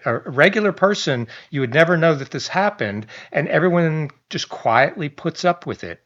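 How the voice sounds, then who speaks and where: A man talking through a news story for his online channel. His voice sits at an ordinary middle pitch, and he mixes reading with loose, chatty asides, stumbling restarts and small chuckles. The audio sounds a little dull and lo-fi. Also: fairly bright tone. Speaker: online commentator. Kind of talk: reading a news article aloud with casual commentary.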